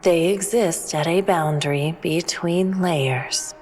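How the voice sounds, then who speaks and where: A woman playing a calm, all-knowing alien who speaks in a measured, formal, cryptic way in a sci-fi audio drama. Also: fairly low pitch, a little hiss or hum. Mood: calm, assured. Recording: long reverb, music underneath.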